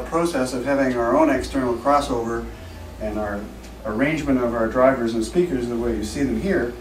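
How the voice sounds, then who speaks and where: A man giving an informal, technical product presentation as a company representative, explaining things in a measured, slightly formal way. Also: mid-range voice. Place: echoey indoor trade show demo room. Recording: roomy, distant mic, music in background.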